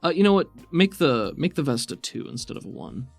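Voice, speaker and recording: A man's voice: fairly high pitch, big pitch swings, a little fast, vocal fry, no room sound, faint music behind. A man speaking as game master, explaining rules and narrating in an easygoing, conversational way. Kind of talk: running a tabletop roleplaying game, explaining rules.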